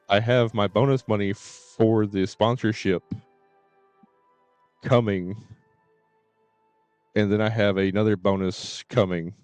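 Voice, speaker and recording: A man's voice: lowish voice, fairly even intonation, slow pace, long pauses, darkish tone, clear, resonant tone, no echo. A man chatting casually on a livestream, informal and rambling, with repeated filler phrases and some cursing. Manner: informal, mildly cursing, repetitive "you know". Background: faint game music and dialogue.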